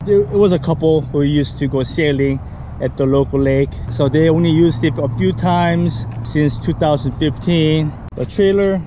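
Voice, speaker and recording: A man's voice: on the high side, moderate pace, background noise. A man in his thirties vlogging casually to camera, upbeat and eager with loose fillers, first from a truck cab and then outdoors in a parking lot on a handheld camera. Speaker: fishing vlogger. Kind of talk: casual vlog talk to camera. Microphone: action camera on dash, then handheld at arm's length.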